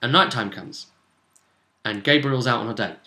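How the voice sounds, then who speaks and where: Bright-voiced man telling a story, echoey room. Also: mid-range voice, moderate pace. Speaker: game master recapping a campaign session. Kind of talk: casual tabletop game storytelling.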